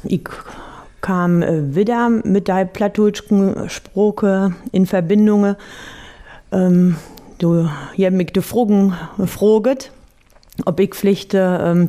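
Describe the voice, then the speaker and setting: A woman's voice: medium pitch, mellow. A woman, answering interview questions hesitantly with many fillers. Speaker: amateur theatre member.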